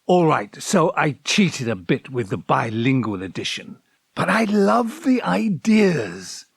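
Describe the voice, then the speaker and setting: A bookish, wistful man lost in memories of old loves. His voice sits high and swings expressively, with slow, carefully worded phrasing. It is audio drama acting, formal and a little hesitant. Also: big pitch swings, gravelly, husky, no room sound, clean studio sound, full character voice. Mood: reflective. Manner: articulate, wordy, formal and hesitant. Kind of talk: audio drama acting, dialogue and reflective monologue.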